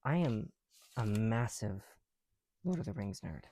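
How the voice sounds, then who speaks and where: A soft-spoken man chatting casually in an ASMR style, often dropping into whispers, with a creaky voice and a rambling, halting delivery, close to the mic. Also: on the low side, animated. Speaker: ASMR video creator. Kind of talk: casual ASMR chat while building bricks.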